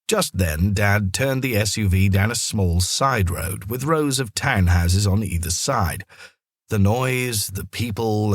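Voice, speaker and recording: Male storyteller, low husky voice, children's story narration, expressive scripted delivery. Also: no echo, clean studio sound.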